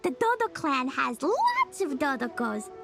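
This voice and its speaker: A woman voicing a cheerful, innocent little girl in a video game, with a childlike, excitable delivery. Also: high voice, measured pace, creaky, exaggerated voice. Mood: eager, playful. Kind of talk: scripted video game voice acting. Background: loud playful game music.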